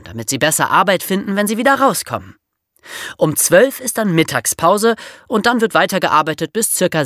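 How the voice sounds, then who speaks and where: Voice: medium pitch, fairly bright tone, no echo, studio quality. A podcast co-host, explaining things in an informal, conversational way.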